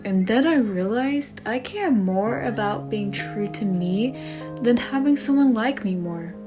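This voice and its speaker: Woman acting earnest friend giving honest advice, high voice, measured n reassuring, faint music. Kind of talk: dramatic monologue for a voice acting demo reel.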